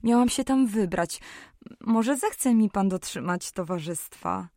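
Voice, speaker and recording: An actress playing a kind, sociable young apothecary's daughter in a period radio drama, polite and gently coaxing. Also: female voice, mid-range voice, expressive, a little slow, no room sound, studio quality. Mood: cheerful, coaxing.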